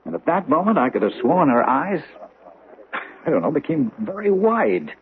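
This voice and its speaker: An actor playing an excitable, impressionable gentleman in a 1970s radio drama. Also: on the high side, moderate pace. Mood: uneasy. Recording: muffled vintage radio broadcast.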